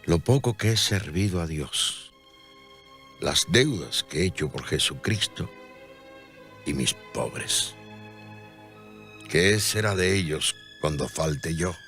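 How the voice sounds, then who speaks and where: Frail, dying devout man, very deep. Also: expressive, fairly bright tone, soft background music, full character voice. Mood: anguished, weak. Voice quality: weak, breathy, faint voice. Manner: halting, laboured, pious delivery. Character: dying, gentle, devout man of fifty-five. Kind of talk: radio drama acting.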